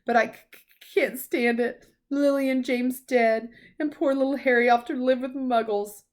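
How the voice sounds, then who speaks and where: Woman playing storybook giant man, rough-spoken, loyal, soft-hearted, raised pitch. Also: steady tone, normal speed, lightly acted. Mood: sobbing, grief-stricken.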